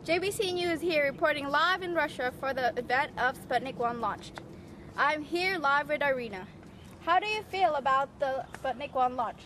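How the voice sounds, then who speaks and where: A woman's voice: high voice, normal speed, pushed and bright. A young woman acting as a field reporter, doing amateur on-the-spot interviews. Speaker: student playing field reporter. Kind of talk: amateur on-the-street news reporting. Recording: very noisy outdoor location.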